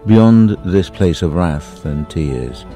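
Super deep mellow guy reading poetry, solemn and deliberate, music underneath. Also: expressive. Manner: solemn, deliberate delivery with long pauses. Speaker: poetry reader. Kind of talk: dramatic inspirational poetry reading.

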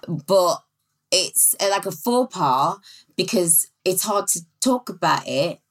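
A woman's voice: on the low side, expressive, slow pace. A woman in her forties, a guest in a casual chat, talking in a chatty, self-mocking way and laughing easily. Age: 40s.

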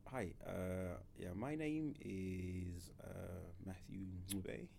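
A man's voice: sits low, lively intonation, very slow, gravelly, mellow, slight background noise. A man in his thirties from Zimbabwe, a podcast guest who talks hesitantly with many fillers and restarts. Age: 30s. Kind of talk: casual interview.

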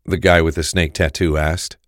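A man's voice: very deep voice, flat delivery, moderate pace, no room sound, studio quality. A man reading scripted narration for an audio drama. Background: music.

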